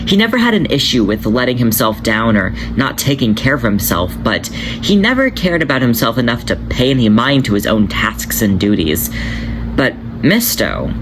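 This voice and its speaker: Man reading a story with lively intonation. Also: medium pitch. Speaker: podfic reader. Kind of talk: reading fan fiction aloud. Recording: noisy home recording.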